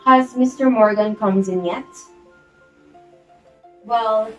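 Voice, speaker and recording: Snobbish hostess for a stage comedy, played by a woman: theatrical, highish pitch, distant mic, background music. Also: slow, lo-fi sound. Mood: brisk, calculating. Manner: theatrical, affected, imperious. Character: snobbish, scheming society hostess and mother. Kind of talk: amateur stage-play acting.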